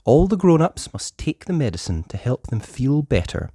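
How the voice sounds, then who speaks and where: A storyteller reading a children's picture book aloud with expressive, measured scripted narration. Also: medium pitch, slightly rough, dry recording, clean studio sound.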